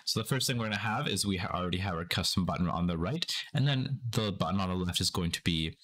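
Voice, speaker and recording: A young man speaks in a low register that sounds a little groggy, as if he is slightly sick. He hosts a casual screen-recorded tutorial, talking through each step informally. He is seated at his computer with a headset. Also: lively intonation, fast, a bit bright. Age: young adult. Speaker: tutorial host.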